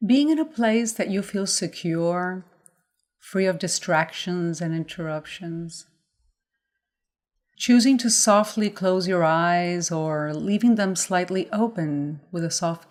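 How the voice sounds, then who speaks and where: A woman leading a guided body scan meditation as a mindfulness guide, giving gentle instructions in measured phrases with pauses. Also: fairly low pitch.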